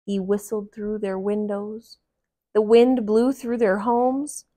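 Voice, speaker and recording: A woman reading a picture book aloud to young children in a gentle, deliberate manner. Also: mid-range voice, level tone. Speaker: children's storybook reader.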